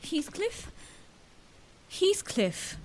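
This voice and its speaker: Headstrong young woman for audio drama, very high and animated, played by an actress. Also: extremely slow, full character voice. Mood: anxious. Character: impetuous, demanding, dreamy eighteen-year-old young woman.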